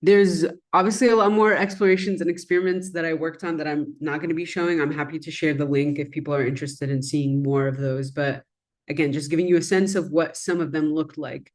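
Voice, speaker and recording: A woman's voice: deep voice, steady tone, brisk, a little mellow. A woman, a native Arabic speaker, walks an audience through her design work in an easygoing, thoughtful way, with the odd "um" as she goes. Her tone is conversational rather than polished, like a talk built around slides. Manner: reflective and conversational, with frequent "um" and "kind of". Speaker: design educator presenting her research.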